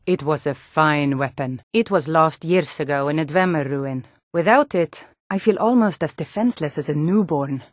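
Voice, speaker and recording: A woman's voice: deep voice, moderate pace, hardly any pauses, dry recording, studio quality. A woman voicing a hardy, proud Nord warrior in a fantasy video game, speaking in an earnest, firm and plain-spoken way. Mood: grave, wistful.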